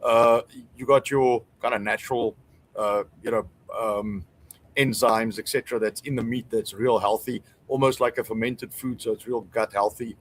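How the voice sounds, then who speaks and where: A man's voice: medium pitch, fairly even intonation, normal speed, slight background noise. A man with a South African accent, chatting casually as a guest in an easygoing, good-humored way. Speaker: guest, small business owner. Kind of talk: casual chat.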